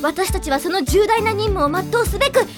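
A timid, earnest teenage girl in an anime drama CD, polite and fretful. Her voice is very high, with music in the background. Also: expressive, a little fast. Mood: nervous earnest.

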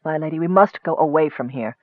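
A man's voice: on the high side, brisk, no echo, close to an everyday voice. A man voicing a devout, fearful and protective cleric in a fantasy audiobook, earnest and pleading. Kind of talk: audiobook character acting. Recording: muffled, dull lo-fi recording.

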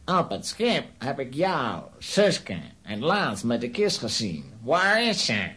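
An actor playing a ruthless, commanding villain who barks threats and orders in a children's radio play. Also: male voice, medium pitch, huge pitch swings, takes it slowly, bright, forceful tone, heavily acted. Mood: threatening. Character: menacing, commanding male robber chief, ruthless villain.

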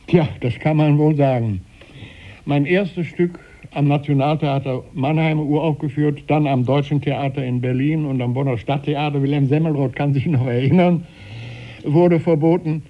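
An eighty-year-old man recalling his life deliberately and precisely as a studio interview guest. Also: fairly high pitch, fairly even intonation, muffled recording. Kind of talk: reminiscing in an interview. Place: radio studio.

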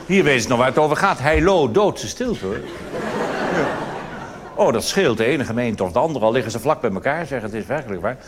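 A man's voice: mid-range voice, animated, brisk, a faint crowd. A male cabaret performer delivering a live comedy monologue in a conversational, rambling way with asides and tag questions. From Netherlands. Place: on stage in a theatre before an audience. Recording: noisy old live theatre recording.

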